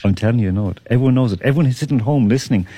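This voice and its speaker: A man hosting a radio quiz, teasing contestants, laughing often and repeating questions slowly. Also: mid-range voice, mellow, slight background noise. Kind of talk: lively radio quiz banter.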